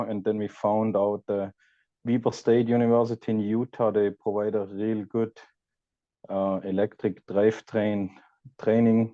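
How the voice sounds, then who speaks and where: A man from Austria with an Austrian accent, a podcast guest chatting casually and pausing to search for words. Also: on the low side, warm tone, no room sound, muffled recording. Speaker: guest, EV content creator. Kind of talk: casual interview chat.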